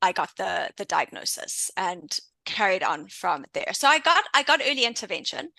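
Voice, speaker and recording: A woman's voice: mid-range voice, sing-song, moderate pace, gravelly, pushed and bright, no echo. A South African woman in her thirties, a guest in a casual podcast interview, chatting freely and often restarting her sentences. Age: 30s. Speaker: podcast guest, intuition coach.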